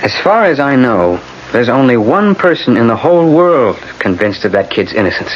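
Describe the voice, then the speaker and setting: An actor playing a weary, sardonic defense lawyer in a radio drama. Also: male voice, medium pitch, very animated, normal speed, close to an everyday voice. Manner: sardonic, glum. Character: weary, wry male defense lawyer expecting defeat. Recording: muffled, noisy old radio broadcast.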